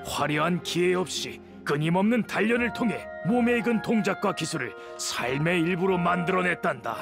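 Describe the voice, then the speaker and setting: Elderly master craftsman for a game: gravelly creak, slightly high, patient and kindly elder phrasing. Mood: gentle, reflective. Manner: measured, old-fashioned elder speech. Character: elderly male master swordsmith, patient, kindly, worried for nephew. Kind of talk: video game voice acting. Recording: clear background music.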